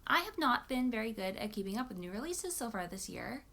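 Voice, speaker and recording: A woman's voice: on the high side, big pitch swings, brisk, fairly bright tone. A woman chatting casually and enthusiastically about books, like a vlogger talking to her viewers. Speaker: book vlogger.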